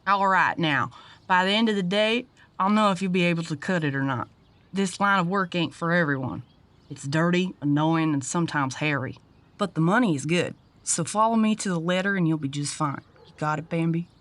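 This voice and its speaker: An actress playing a gruff, sardonic working woman, blunt and bossy, in an audio drama. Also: on the low side, fairly quick, a bit bright, lightly acted. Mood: blunt, instructing. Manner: blunt, dry, bossy, drawling slang. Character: gruff, sardonic Southern woman pest catcher, seasoned boss. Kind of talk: audio drama character acting.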